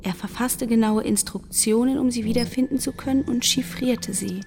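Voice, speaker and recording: An actress playing a searching female narrator in a radio play, with a creaky, measured delivery. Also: fairly high pitch, fairly even intonation, noisy background, close to an everyday voice. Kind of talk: scripted radio drama narration. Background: music under the voice.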